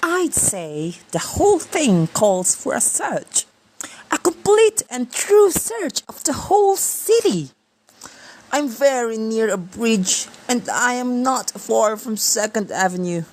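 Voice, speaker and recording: A woman's voice: on the high side, sing-song, takes it slowly, full character voice, rough recording. A woman playing an anxious, demanding bedridden wife on the telephone to police in a radio play, fretful and insistent.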